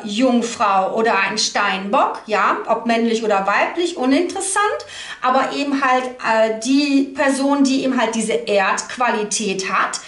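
A woman giving an unscripted card reading to the viewer, thinking aloud haltingly and adding small tag questions. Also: high-pitched, a bit bright. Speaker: tarot card reader, host. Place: indoor room at a table. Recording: roomy echo.

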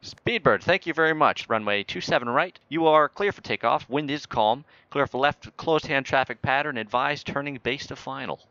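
A man's voice: mid-range voice, moderate pace, hardly any pauses, sharp tone, no echo, dull audio. A man talking as an air traffic controller in a flight simulator game, joking in mock-official radio phrasing.

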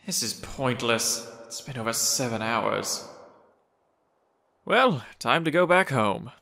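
Man playing a suave, gravelly gentleman hunter, very animated. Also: medium pitch, takes it slowly, slight background noise, exaggerated voice. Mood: fond, wry. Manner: genteel, theatrical, old-fashioned endearments. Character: suave, courteous gentleman hunter with a menacing calm. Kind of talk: comic dub voice acting.

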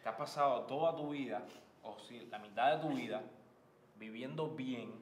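A man's voice: medium pitch, normal speed, reverberant, a little hiss or hum. A young man accusing his brother heatedly at a family dinner. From Cuba. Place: family home dining room.

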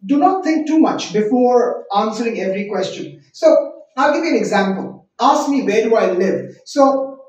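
A man's voice: extremely high pitch, moderate pace, highs cut off. Indian man teaching, very echoey room. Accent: Indian English. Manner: conversational, animated hand gestures, rhetorical questions. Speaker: IELTS tutor. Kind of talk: direct-to-camera teaching. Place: small indoor room. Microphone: lapel mic. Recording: very echoey room, mic at a distance.

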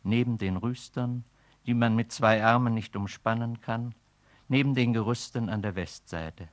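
An actor speaking a weary man's poetic inner monologue in a radio play, slow and brooding. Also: mid-range voice, lightly acted. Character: weary, brooding man musing on decay.

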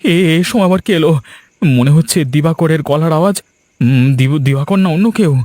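A man's voice: a little high, a little fast, a little mellow, a little hiss or hum, lightly acted. A man voicing a wicked, cowardly village doctor in a scripted cartoon, with an anxious, faltering delivery. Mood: annoyed, uneasy. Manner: stammering, pleading.

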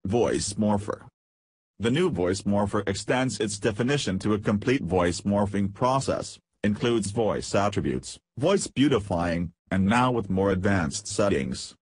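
Low, husky-voiced man narrating a software demo. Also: lively intonation, measured pace, no echo. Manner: reads feature lists in short headed segments. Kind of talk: scripted promotional narration.

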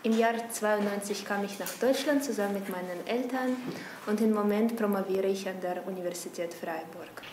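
Twenties woman from ukraine, steady intro, echoey office. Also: mid-range voice, moderate pace, slight background noise. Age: 20s. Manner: steady, formal self-introduction. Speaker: doctoral student. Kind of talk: interview.

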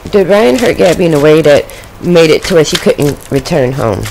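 A woman's voice: sits low, animated, normal speed, gravelly, darkish tone, slight background noise, rough recording. A woman doing a casual tarot reading at a home table, chatting to the spirit and her viewers. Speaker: tarot reader.

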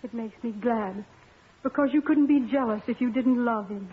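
High, tender young sweetheart played by an actress. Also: female voice, normal speed, lightly acted. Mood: guarded. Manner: earnest, emotional, hesitant. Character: young waitress sweetheart, tender, humble, self-sacrificing. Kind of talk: 1950s radio melodrama acting. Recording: tinny old radio broadcast, music behind.

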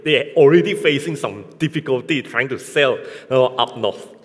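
A man's voice: medium pitch, lively intonation, moderate pace. Malaysian man preaching in a reverberant hall. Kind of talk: bilingual church sermon, told in short phrases for interpretation. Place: large church hall, indoors, on stage. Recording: echoey hall with long reverb.

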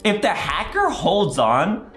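A man's voice: sits high, huge pitch swings, slow, fairly bright tone. A man in his twenties giving playful gaming commentary for children with exaggerated, theatrical reactions. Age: 20s. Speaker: gaming video host. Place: small indoor room. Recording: roomy sound with background music. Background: music.

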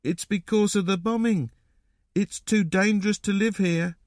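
A man's voice: sits high, fairly even intonation, darkish tone, dry recording, clean studio sound, pitched above the performer's own voice, natural voice. A man voicing an upset, frightened little boy in a storybook, speaking plaintively. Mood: unhappy. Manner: slow, plaintive delivery.

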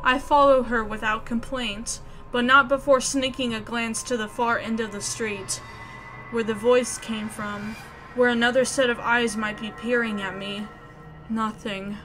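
A woman reading scripted story narration aloud in an expressive way. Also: on the high side, low-quality recording. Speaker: video game player reading narration aloud. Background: music.

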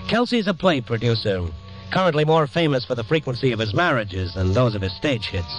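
Actor as hard-boiled American reporter, music behind. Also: male voice, mid-range voice, animated, a little fast, lightly acted, rough recording. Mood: brooding narration. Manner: dramatic, descriptive storytelling. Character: hard-boiled, sympathetic male night-beat newspaper reporter. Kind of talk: vintage radio drama narration. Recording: vintage radio recording.

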